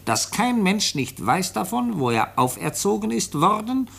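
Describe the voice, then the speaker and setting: Police official for radio drama: husky, animated pitch, matter-of-fact, explanatory. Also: male voice, heavily acted. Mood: deliberate reading. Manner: matter-of-fact, explanatory, slow and deliberate when reading aloud. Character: brisk male police official reporting on a case. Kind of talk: radio play acting.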